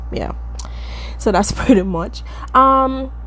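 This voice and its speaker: A woman's voice: sits high, slow, a bit bright. A woman from the Caribbean with a stuffy, congested nose from allergies, talking viewers through a wig-making tutorial in a chatty, self-deprecating way. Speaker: beauty vlogger.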